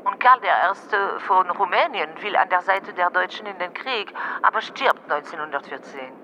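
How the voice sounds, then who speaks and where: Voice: medium pitch, normal speed, pushed and bright, close to an everyday voice. A narrator reciting scripted lines as one of several voices in an audio drama. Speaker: chorus narrator. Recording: dull sound, faint outdoor traffic noise.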